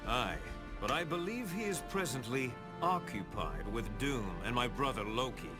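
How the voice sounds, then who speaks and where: A man's voice: medium pitch, sing-song, moderate pace, natural voice. An actor playing a noble, confident male warrior god in a video game, with formal, archaic, stately speech. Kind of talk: video game character acting. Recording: loud music over the voice.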